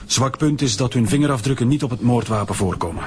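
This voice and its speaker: An actor playing a methodical, authoritative police director in a radio drama, speaking. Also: male voice, medium pitch, fast, darkish tone, natural voice.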